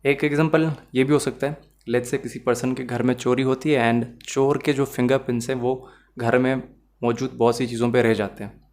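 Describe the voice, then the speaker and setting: A Pakistani man delivers an explanatory lesson in a medium-pitched voice. He sounds like a lecturer, laying out points and examples didactically. He often switches into English phrases as he goes. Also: flat delivery, a little fast, darkish tone. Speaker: law lecturer.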